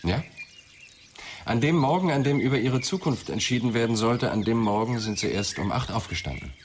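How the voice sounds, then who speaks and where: A man's voice: medium pitch, expressive, moderate pace, slight background noise, natural voice. An actor playing a persistent, sceptical male police inspector who questions tersely in a radio crime drama. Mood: probing. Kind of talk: German radio drama dialogue.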